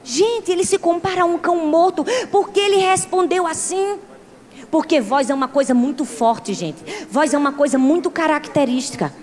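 Woman preacher, thirties, strong regional accent, high voice, emphatic delivery, hall reverb. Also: normal speed. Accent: Portuguese-speaking, with a strong regional accent. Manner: emphatic preaching with swings from soft to loud. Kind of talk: sermon.

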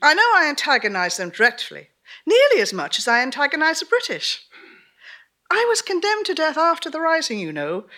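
A woman playing the ghost of a haughty, brisk Irish revolutionary countess, with old-fashioned upper-class phrasing in a theatrical stage performance. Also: fairly high pitch, bright, forceful tone. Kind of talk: theatrical dramatic monologue performance.

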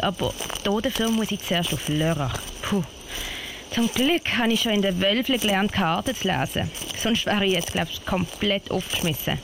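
A woman's voice: medium pitch, background noise. A woman playing a puzzled, plucky young heroine who hesitates and thinks aloud in an amateur radio play over music. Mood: puzzled, resolute.

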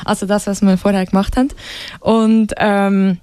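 A woman with a Swiss German accent talks casually about music as a radio guest. Her voice is dark and relaxed, recorded dry. She explains things informally, pausing and searching as she goes. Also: medium pitch, smooth, no echo.

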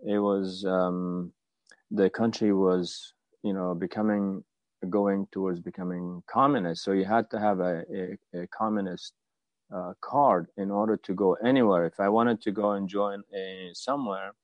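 A man in his fifties from Afghanistan telling his own story as an interview guest, with a halting, hesitant delivery. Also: deep voice, steady tone, no room sound. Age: 50s. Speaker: guest, martial arts teacher.

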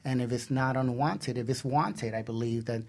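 A man's voice: mid-range voice, steady tone, moderate pace, darkish tone. A man hosting a casual radio discussion, talking in an earnest, conversational way. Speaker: radio show host.

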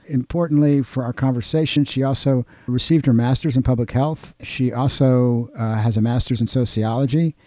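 A man hosting a community radio interview show, talking in an earnest, unhurried way with some repeated words. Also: medium pitch, warm tone, dry recording.

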